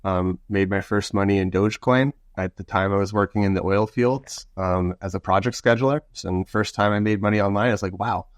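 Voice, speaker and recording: A man from Romania, a guest on a podcast, telling his story in a relaxed way with frequent pauses. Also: fairly low pitch, no room sound. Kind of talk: casual podcast talk.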